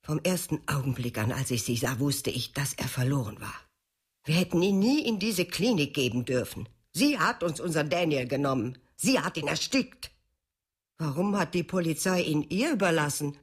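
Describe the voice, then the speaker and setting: Radio drama role: bitter bereaved mother, middle-aged, husky low register, accusing. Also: expressive, normal speed. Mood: bitter grief.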